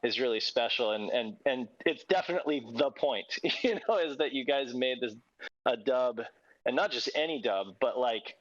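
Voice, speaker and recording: A man's voice: medium pitch, expressive, normal speed, no room sound, lo-fi sound. A man chatting casually as a podcast host, with an enthusiastic, effusive way of talking. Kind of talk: casual chat.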